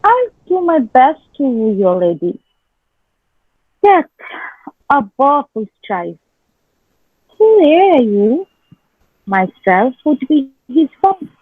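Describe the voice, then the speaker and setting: A woman's voice: high-pitched, big pitch swings, slow, long pauses, no echo, exaggerated voice. A woman playing a devoted young page in a theatrical Shakespearean dramatic reading, earnest and deferential. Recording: tinny phone-like, dry close sound.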